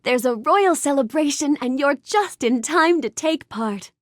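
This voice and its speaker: A high-voiced, sweet young storybook heroine for a video game. Her lines swing melodically with bookish curiosity and polite warmth, gentle and full of wonder, in a close, dry studio sound. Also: a little slow, dry recording. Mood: cheerful, excited. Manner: gentle, wonder-filled, courteous, expressive delivery. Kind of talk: animated game character dialogue.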